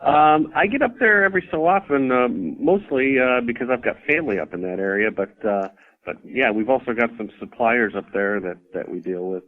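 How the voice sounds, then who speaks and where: A man's voice: mid-range voice, expressive, moderate pace. A man speaking as a podcast guest in casual chat, hesitant and full of fillers. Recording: dull lo-fi sound.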